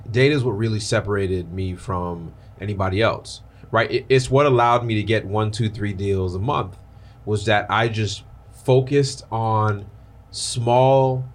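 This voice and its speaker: A man hosting his podcast, chatting loosely and excitedly with a guest, full of fillers and restarts. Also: fairly low pitch. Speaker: podcast host. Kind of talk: casual podcast interview.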